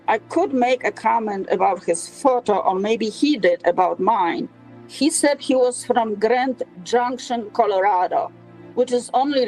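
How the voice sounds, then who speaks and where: Sixties woman with a Polish accent, somewhat high voice, halting interview storytelling, faint music beneath. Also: darkish tone, low-quality recording. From Poland. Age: 60s. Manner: halting, with frequent fillers.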